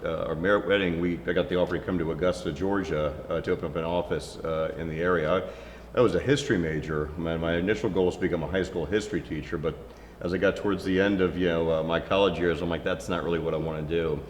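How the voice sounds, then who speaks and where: A middle-aged man in casual conversation, thoughtful and halting, with many pauses as he recalls his life. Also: deep voice, steady tone, slight background noise. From New Orleans, raised near Philadelphia. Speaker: interviewee. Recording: echoey room with long reverb.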